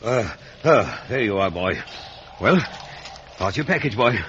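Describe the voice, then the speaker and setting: An actor playing a menacing, wretched escaped convict in a radio drama, with rough, threatening speech. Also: male voice, lowish voice, animated, a little slow. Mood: wary, gruff. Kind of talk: 1950s radio drama acting. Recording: muffled, noisy vintage radio recording.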